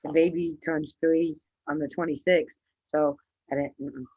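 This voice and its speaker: A woman in her forties chats and gently teases her friends. Her mic sounds dull and lo-fi. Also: low-pitched, little pitch movement, unhurried, husky, dry recording. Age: 40s. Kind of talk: casual group chat.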